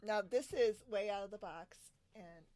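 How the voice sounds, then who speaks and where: A woman's voice: mid-range voice, unhurried, darkish tone. A woman chatting casually in a bubbly, giggly way, recorded at home on a video call. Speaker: podcast host. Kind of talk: casual chat about sewing favorites.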